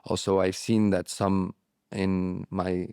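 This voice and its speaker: A man whose first language is Spanish, speaking candidly as an interview guest, reflective and halting with frequent restarts. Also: deep voice, monotone, warm tone, clean tone, no echo, studio quality. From born in Morocco, raised abroad. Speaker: guest, relapse prevention therapist.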